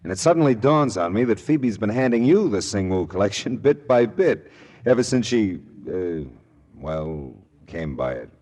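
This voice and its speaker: An actor playing a shrewd, world-weary private detective in mid-century American radio style, with a wry, hard-boiled, knowing manner and an American accent. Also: male voice, on the low side, big pitch swings, moderate pace, a little mellow. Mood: frank, shrewd. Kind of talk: 1940s-50s American radio detective acting. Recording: vintage radio drama recording with music.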